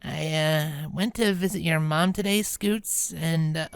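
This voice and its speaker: A woman voicing a tomboyish, protective grown pegasus pony, speaking in a calm, low, reassuring way in an acted audio drama. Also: a little slow, gravelly, clear, resonant tone, dry recording. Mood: awkward, careful.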